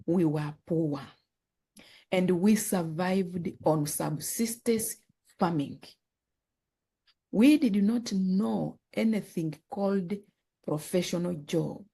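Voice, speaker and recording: Woman guest, low-ish warm tone, unhurried, earnest with frequent restarts. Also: vocal fry, breathy edge. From Uganda. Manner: earnest, halting, repeats words and restarts often. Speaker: guest, author and nonprofit founder. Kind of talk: telling her life story in an interview.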